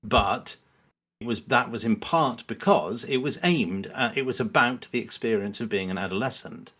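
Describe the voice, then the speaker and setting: A man's voice: medium pitch, normal speed, a bit bright, husky. A man speaking as a podcast guest in a casual, analytical discussion, often rephrasing himself as he thinks aloud.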